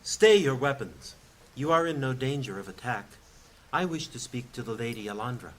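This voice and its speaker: A man's voice: medium pitch, lively intonation, moderate pace, natural voice, rough recording. An actor playing a stern male mage who reads out formal charges in a commanding, proclamatory way.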